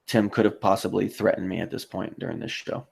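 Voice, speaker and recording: A man with a fairly low voice and heavy vocal fry hosts a casual live stream. He reads comments aloud and answers in a rambling, halting way, with muttered asides. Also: fairly even intonation, darkish tone. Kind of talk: casual livestream chat, reading viewer comments aloud.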